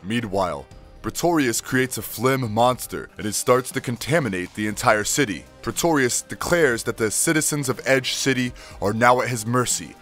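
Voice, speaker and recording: A man's voice: mid-range voice, lively intonation, normal speed, fairly bright tone, faint music behind. A man reading scripted narration that recaps cartoon episodes.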